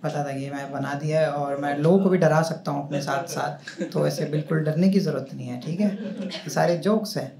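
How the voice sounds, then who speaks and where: A man's voice: on the high side, fairly even intonation, fairly quick, creaky. Young man doing stand-up in a roomy club. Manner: conversational, teasing the audience. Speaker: stand-up comedian. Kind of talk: stand-up comedy set. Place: comedy club stage before a live audience. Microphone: handheld mic on a stand. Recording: roomy live club sound with crowd noise. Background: audience laughter.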